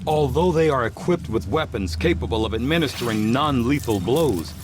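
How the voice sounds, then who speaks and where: A man's voice: mid-range voice, expressive, moderate pace, background noise, lightly acted. An actor playing an aloof, clinical male scientist in a video game, precise, formal and detached in his briefings. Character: aloof, clinical male alien-research scientist, coolly proud.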